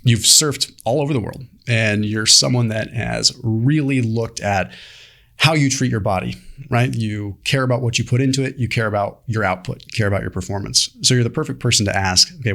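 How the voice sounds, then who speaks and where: Gravelly low-voiced male interviewer. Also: a little fast, fairly bright tone. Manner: loose, conversational questioning. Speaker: host interviewer. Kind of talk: casual interview questions.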